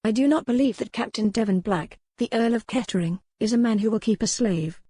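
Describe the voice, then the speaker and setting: A woman's voice: fairly high pitch, brisk, warm tone, breathy edge, no room sound, studio quality, voice pushed up. A woman voicing a strong-willed captive noblewoman in an audiobook, formal, pointed and challenging. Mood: solemn, resolute. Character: defiant, sharp-witted captive noble lady, strong-willed. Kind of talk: audiobook character dialogue.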